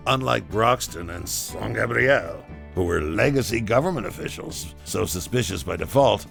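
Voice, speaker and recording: A man playing a wry, foul-mouthed former butcher and rebel, telling his story conversationally and theatrically in an audio drama, with a low, gravelly voice. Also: fairly bright tone, heavily acted.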